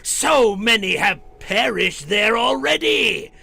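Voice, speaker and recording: Gravelly, theatrical horror host. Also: male voice, sits high, animated, sharp tone, no room sound, shouting, full character voice. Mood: macabre glee. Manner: theatrical, macabre, drawn-out delivery. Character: eerie, gleefully morbid male horror host and storyteller. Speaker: host and narrator of a horror show. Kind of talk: 1940s radio horror acting, dramatic narration.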